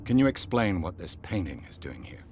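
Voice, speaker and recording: Weary low-voiced male detective. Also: very animated, a little fast, faint music behind. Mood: probing, insistent. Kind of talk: video game voice acting.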